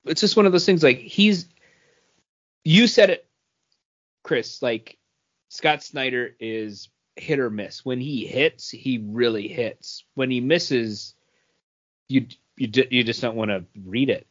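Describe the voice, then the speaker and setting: A man's voice: medium pitch, slow pace, a bit bright. Man in casual chat, muffled audio. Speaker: comics podcast co-host. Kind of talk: casual chat, book review. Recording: dull, lo-fi podcast audio.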